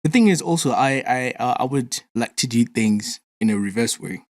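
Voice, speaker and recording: A man's voice: mid-range voice, expressive, normal speed, darkish tone, dry recording, studio quality. A man interviewing a podcast host in a casual, easygoing way, with many "you know"s and "like"s.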